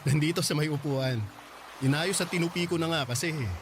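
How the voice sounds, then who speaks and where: A man acting as a calm young man in a radio drama, patiently explaining and reassuring. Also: a little high, a little fast, noisy background, lightly acted.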